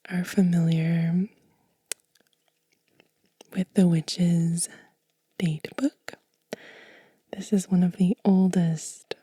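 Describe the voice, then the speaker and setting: A woman whispering softly and breathily in a gentle, unhurried, soothing ASMR show and tell. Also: lowish voice, slightly rough, dry recording. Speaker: host of a witchcraft ASMR channel.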